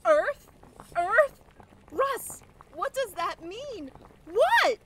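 Very high-pitched, terrified woman, acted by a woman. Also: big pitch swings, extremely slow, full character voice. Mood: dread. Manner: breathless, melodramatic, repeating herself. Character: terrified, pleading woman in a horror tale. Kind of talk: retro radio drama acting. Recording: loud background noise.

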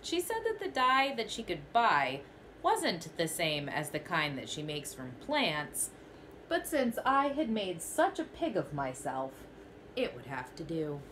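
Expressive young woman reading a picture book. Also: on the low side, a little slow, slight background noise. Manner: expressive storytelling, first person as the goat. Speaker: teacher. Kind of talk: children's picture book read-aloud. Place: small bedroom, indoors.

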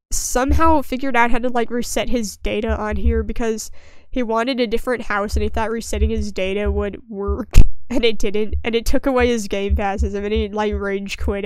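A young woman with a fairly high, smooth voice chats her way through a game video. She sounds a little sniffly from a cold and rambles in a self-deprecating, dryly joking way. The recording is dry and close to the microphone. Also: no room sound, poor audio. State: sniffly, getting over a cold. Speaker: gaming video creator. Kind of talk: casual gameplay commentary.

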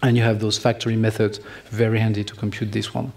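A middle-aged man with a French accent giving a technical conference talk from a large stage, halting with many restarts. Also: on the low side, level tone, gravelly, a little mellow. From France. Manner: halting, many restarts and fillers, joking asides. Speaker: conference speaker.

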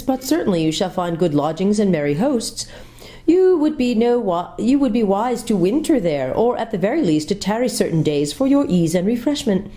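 A woman's voice: medium pitch, mellow, voice pushed up, lightly acted. A woman voicing a lovely, charming lady in green who speaks sweetly and musically, trilling her R's.

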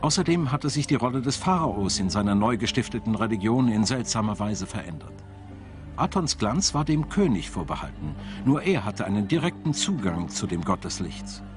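Expressive male documentary narrator. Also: on the low side, moderate pace. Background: background music.

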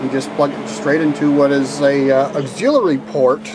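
A man's voice: medium pitch, fairly even intonation, noisy background. Folksy man with a plainspoken drawl. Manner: folksy, plainspoken, halting with fillers and restarts. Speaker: DIY video maker. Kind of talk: casual how-to demonstration. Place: inside a motor home at night.

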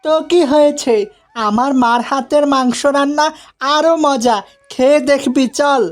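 A woman's voice: high-pitched, steady tone, a little slow. A woman voicing a kind, eager young village boy in a cartoon fairy tale. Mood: eager, coaxing.

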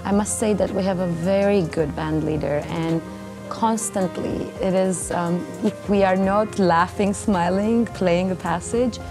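Low-voiced Armenian-accented woman over music. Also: a little slow. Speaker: cellist and vocalist. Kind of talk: interview testimonial. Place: indoor backstage area, seated.

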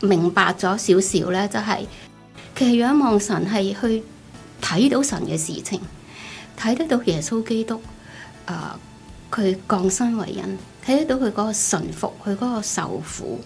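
Cantonese-speaking woman, slightly creaky voice. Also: mid-range voice, normal speed, a little mellow. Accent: Hong Kong Cantonese. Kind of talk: personal testimony, conversational storytelling. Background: background music.

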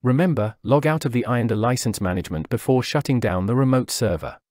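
Steady male tutorial narrator, dry sound. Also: medium pitch, fast, no room sound. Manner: steady, instructional, step-by-step delivery. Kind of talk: scripted software walkthrough voice-over. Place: voice-over over screen recording.

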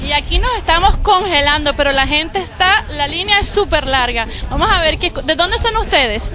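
A woman's voice: high-pitched, lively intonation, normal speed. A young woman reporter doing upbeat street interviews outdoors at a night concert, speaking into a handheld mic. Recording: music and crowd behind.

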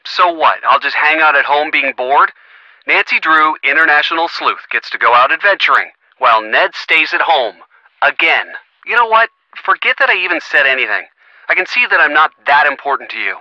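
An actor playing an earnest, gently sardonic young American boyfriend in a video game, talking conversationally and expressively with a youthful voice. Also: male voice, a little high, animated, normal speed, dry recording, highs cut off. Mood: hurt, sarcastic. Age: young adult. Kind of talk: video game phone-call dialogue.

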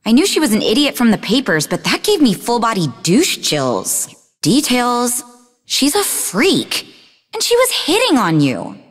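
An actress playing a sarcastic, sharp-tongued young woman scientist in a video game, with a mocking, snappy manner. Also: fairly high pitch, wildly swooping pitch, unhurried, faint music behind, heavily acted. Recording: long reverberant hall echo.

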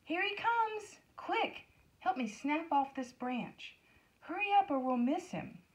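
A woman voicing excited, joyful villagers who exclaim eagerly in a children's storybook reading. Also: sits high, slow pace, pitched above the performer's own voice. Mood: excited, urgent. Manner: exclaiming, urgent. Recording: noticeable background noise.

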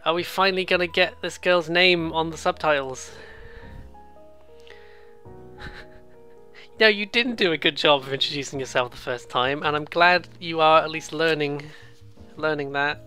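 A bright-voiced let's play host at a middling pitch talks casually through a game. The commentary is rambling, jokey and self-deprecating, mixed with lines read off the screen, with some game music underneath. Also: moderate pace, long pauses.

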